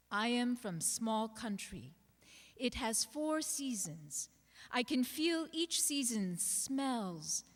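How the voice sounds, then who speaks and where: A woman giving a dramatic literary reading aloud in measured, deliberate phrases. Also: a little high. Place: large indoor hall at a live reading. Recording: echoey hall with long reverb.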